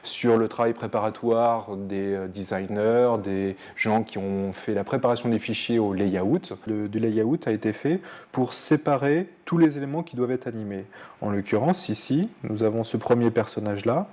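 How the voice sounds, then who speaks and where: A man's voice: fairly low pitch, normal speed, a little mellow. A man in an interview explaining the animation stage, pointing out examples as he goes.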